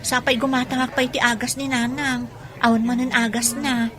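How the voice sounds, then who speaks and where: A woman with an Ilocano accent playing a hardworking young village daughter in a radio drama, her voice husky. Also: fairly high pitch, level tone, moderate pace, natural voice. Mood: earnest. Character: hardworking young student daughter selling fish.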